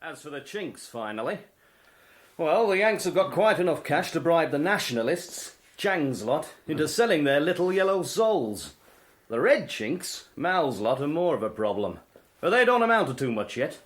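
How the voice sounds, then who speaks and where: A fairly high male voice: a smug, callous army sergeant in a radio play. He debates glibly and condescendingly, with very lively pitch. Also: normal speed. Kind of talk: BBC radio drama speech.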